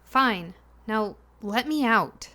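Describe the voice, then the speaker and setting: Casting: defiant young female passenger, fairly high voice, wary and confrontational, audio drama. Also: expressive, slow, bright, forceful tone, heavily acted. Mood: angry, dismissive. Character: weary, distrustful, defiant young woman passenger.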